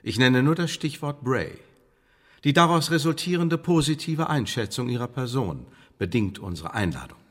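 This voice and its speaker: A man playing a coolly bureaucratic secret service official in a radio play, speaking in a formal, measured, officious way. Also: mid-range voice, lively intonation, a bit bright. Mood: formal, insistent. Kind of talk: German radio play, report read aloud and interrogation.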